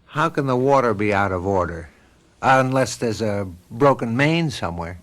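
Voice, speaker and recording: An American actor playing a wry, impatient suburban husband in a radio drama, talking conversationally with exasperation. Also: male voice, medium pitch, lively intonation, normal speed, close to an everyday voice. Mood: exasperated.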